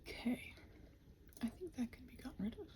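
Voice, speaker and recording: A woman's voice: high voice, expressive, brisk. A woman hosting a rambling ASMR work session, muttering to herself, often half-whispered, with faint noise. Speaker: host of a body-doubling ramble. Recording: faint noise, keyboard typing. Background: computer keyboard and typing.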